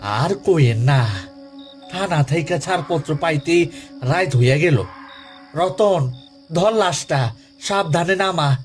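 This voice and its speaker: A man's voice: fairly high pitch, expressive, slow pace, pauses often. A man voicing a bossy, brusque, seasoned gravedigger with a rural Bangladeshi accent in an audio horror story. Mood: brisk, dismissive. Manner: brusque, commanding, colloquial. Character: gruff, seasoned male gravedigger, bossy and dismissive. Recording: music behind the voice.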